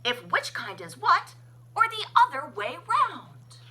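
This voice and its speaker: A woman's voice: very high-pitched, sing-song, slow pace, creaky, bright, forceful tone, pitched above the performer's own voice, exaggerated voice. A woman voicing a haughty, indignant storybook creature, groaning and proclaiming snootily. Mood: indignant dismay.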